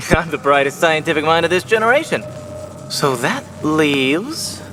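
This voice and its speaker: A man's voice: a little high, sing-song, measured pace, background noise. An actor playing a fussy, self-important male scientist in a video game, with a smug, technical way of talking. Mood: defensive, smug.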